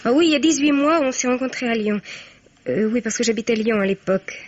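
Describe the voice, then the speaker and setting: An actress playing a frank, cheeky young student daughter in a 1950s French radio mystery. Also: female voice, on the high side, lively intonation, fast. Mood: chatty, amused. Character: brash, wry young student daughter, freshly jilted. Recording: dull vintage radio recording.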